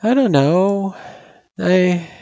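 A man's voice: high voice, lively intonation, drawn-out words, mellow, dry recording, lo-fi sound, voice pushed up, full character voice. A man voicing an anxious, pouty young girl with a hesitant, plaintive delivery in a story reading. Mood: sheepish, pouting. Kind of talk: character voice in story narration.

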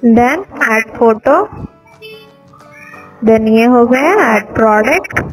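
A woman's voice: on the high side, very slow, soft background music, low-quality recording. A woman giving a step-by-step voice-over walkthrough of a phone app, speaking to viewers as friends. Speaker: app tutorial presenter. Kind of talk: screen-recorded app walkthrough. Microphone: voice-over over screen recording.

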